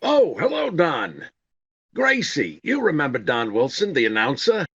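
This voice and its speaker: Exasperated old radio comedian, raspy and sharp, pitch jumps all over, bit muffled. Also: male voice, wildly swooping pitch, a little slow, full character voice. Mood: cheerful, showy. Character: exasperated vaudeville comedian husband, straight man to his partner. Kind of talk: 1930s radio comedy acting.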